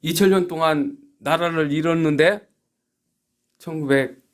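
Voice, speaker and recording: A man from South Korea preaching a church sermon as a pastor, haltingly, moving between quiet asides and emphatic rhetorical questions. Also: a little high.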